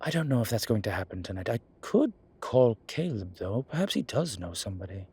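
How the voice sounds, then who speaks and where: A man playing a fond, teasing vampire deacon in an ASMR roleplay, with a breathy voice and theatrical, sardonic phrasing. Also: on the low side, big pitch swings, brisk, darkish tone, heavily acted. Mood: flirtatious, playful. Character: male vampire deacon, fond, teasing, exasperated, protective, dryly witty. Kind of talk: ASMR roleplay audio drama addressed to the listener.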